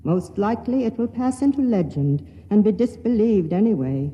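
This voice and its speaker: An actor voicing a grave male chronicler who reads his forbidden account in a solemn, measured way in a 1950s radio drama. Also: sits high, lively intonation, highs cut off, slight background noise. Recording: reverberant vintage radio recording.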